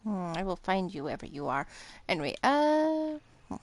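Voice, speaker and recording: A woman's voice: medium pitch, very animated, moderate pace. A woman game streamer giving casual live commentary while she plays. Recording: game music clearly behind.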